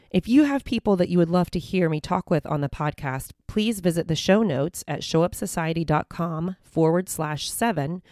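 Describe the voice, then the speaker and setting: A woman in her forties, slightly hoarse, hosting a self-help podcast in a bubbly, encouraging way. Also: low-pitched, mellow, no echo, studio quality. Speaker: podcast host.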